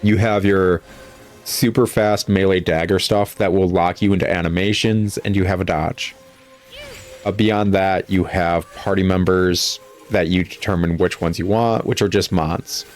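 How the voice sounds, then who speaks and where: A man's voice: low voice, fairly even intonation, measured pace, soft background music. A man giving casual, critical video game commentary in a sarcastic, exasperated, rambling way. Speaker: gaming video host, critic.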